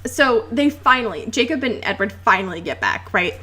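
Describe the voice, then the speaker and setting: A young woman co-hosting a podcast, casually retelling a book in a giggly, animated way and swearing freely. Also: fairly high pitch, normal speed, a little hiss or hum. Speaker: podcast co-host. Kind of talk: casual comedic book discussion.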